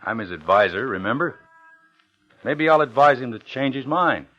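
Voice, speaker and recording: An actor playing a guarded, smooth-talking, hard-boiled man in an old noir radio drama. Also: mid-range voice, animated, normal speed, natural voice. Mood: guarded, coaxing. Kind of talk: 1950s radio drama acting with noir narration. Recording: dull old radio recording, music underneath.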